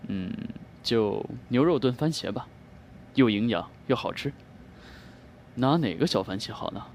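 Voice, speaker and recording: A man playing a gentle, polite young man who muses softly to himself in an audio drama. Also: fairly low pitch, expressive, a little slow, creaky, slight background noise. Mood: relaxed, pondering. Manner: soft, musing to himself. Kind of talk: Chinese audio drama acting. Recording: music behind the voice.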